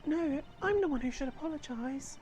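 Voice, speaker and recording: A gentle young woman from a video game, voiced by a female player. She speaks politely and carefully in a high, warm-toned voice. Faint noise sits behind it. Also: animated, normal speed, rough recording. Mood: gentle, relieved. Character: gentle, apologetic, well-mannered young woman in hiding. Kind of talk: fan voice-over of a video game.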